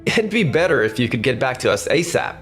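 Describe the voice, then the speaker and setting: Man acting a cocky, animated young salesman. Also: medium pitch, fairly quick. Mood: pushy. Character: overconfident, pushy young male real estate salesman. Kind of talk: anime-style manga dub acting. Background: faint background music.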